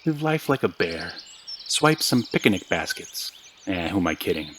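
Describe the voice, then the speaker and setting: A man's voice: low voice, big pitch swings, normal speed, a bit bright, a little hiss or hum. An actor playing an exasperated, down-on-his-luck podcaster in a naturalistic audio drama, talking in a defensive, slangy, emphatic way. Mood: weary, doubting. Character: exasperated, down-on-his-luck man, obsessed podcaster hunting lizard conspiracies.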